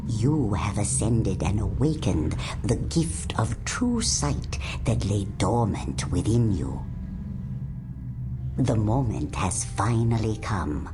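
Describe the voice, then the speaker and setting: A woman voicing an ancient, all-seeing female prophet in a video game, speaking in a breathy, whispery way with slow, solemn pronouncements. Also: a bass voice, lively intonation, background noise, heavily acted. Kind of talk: video game cutscene voice acting. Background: orchestral game music.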